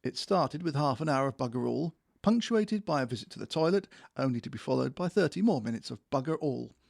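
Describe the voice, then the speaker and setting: A man's voice: medium pitch, expressive, a little fast, vocal fry, a little mellow, no echo. A man playing a grumbling office worker. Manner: sarcastic, grumbling, swears mildly. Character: grumbling bored middle-aged male post office worker. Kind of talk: amateur audio drama acting. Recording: music underneath.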